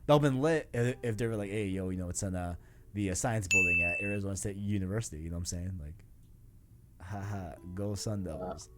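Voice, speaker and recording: A man's voice: low-pitched, fairly quick, very noisy. A man hosting a casual video game discussion, talking in a rambling, enthusiastic, slangy way. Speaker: podcast host.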